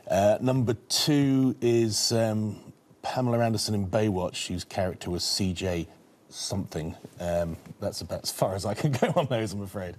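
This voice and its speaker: A man's voice: fairly low pitch, moderate pace. A man competing on a television quiz, talking clues through hesitantly and at length with his team. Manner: hesitant, musing, wordy. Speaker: quiz contestant. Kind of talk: conferring with teammates. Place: television studio.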